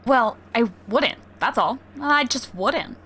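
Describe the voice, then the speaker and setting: A woman's voice: on the high side, lively intonation, slow, pushed and bright, slight background noise, exaggerated voice. A woman acting a sarcastic, exasperated teenage daughter in a 1950s-style family radio comedy. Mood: sulky. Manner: complaining, theatrical.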